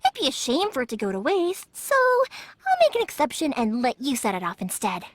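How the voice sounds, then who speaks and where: An actress playing a cheerful, lively young fireworks maker in a video game, talking in a playful, warm-hearted way. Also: female voice, sits high, brisk, no echo, exaggerated voice. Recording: game soundtrack music faint behind.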